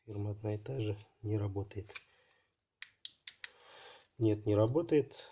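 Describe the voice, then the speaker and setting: A man hosting a casual unboxing video, talking haltingly and thinking aloud while his voice is affected by a cold. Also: on the low side, background noise. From Russia. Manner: halting, thinking aloud, many fillers like "so" and "there". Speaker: channel host unboxing parcels.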